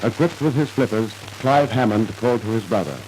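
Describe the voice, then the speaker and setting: A man's voice: medium pitch, brisk, a little mellow, a little hiss or hum, low-quality recording. A man narrating a scripted 1950s radio drama in a formal, dramatic storytelling manner, on an old radio recording.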